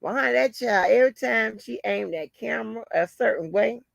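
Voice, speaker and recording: A woman's voice: a little high, moderate pace, no echo, clean studio sound. A woman, hosting a casual gossip commentary, swearing freely, laughing often and calling listeners baby and honey. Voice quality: hoarse. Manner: cackling, profane, calls listeners baby and honey. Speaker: channel host.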